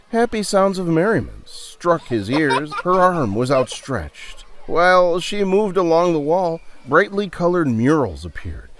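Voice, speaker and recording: A man narrating a dramatic audio story in a theatrical, deliberate and suspenseful way. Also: a little high, slight background noise. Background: music.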